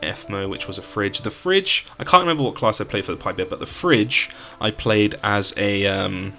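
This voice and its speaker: A man's voice: fairly low pitch, animated, moderate pace, gravelly, bright, forceful tone, soft background music. A man from the UK co-hosting a casual podcast, rambling through stories about his old game characters with plenty of "um"s. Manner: rambling, storytelling, frequent "um". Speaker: podcast co-host. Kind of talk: casual chat about tabletop games.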